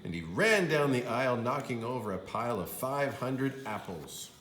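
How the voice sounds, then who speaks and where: A man reading a storybook aloud to young children as a kindergarten teacher, deliberate and emphatic. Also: medium pitch, big pitch swings, fairly bright tone, roomy sound, a little hiss or hum.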